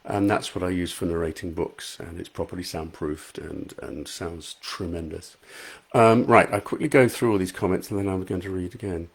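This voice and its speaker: A man's voice: low voice, normal speed, creaky, slightly rough. Soothing-voiced man chatting live. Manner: chatty, self-deprecating, reads out viewer comments. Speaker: author reading and answering live comments. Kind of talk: casual live-stream chat. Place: small untreated room at home. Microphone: high quality microphone.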